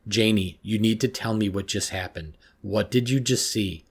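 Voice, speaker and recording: A concerned woman counselor in a suspense story, played by a man narrating. The delivery is urgent and insistent, with slow, weighted questions, sitting only slightly above the narrator's low register. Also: slightly rough.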